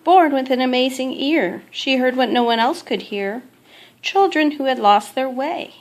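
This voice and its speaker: A woman's voice: high-pitched, unhurried. Woman reading a children's storybook aloud. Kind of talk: children's picture book read-aloud.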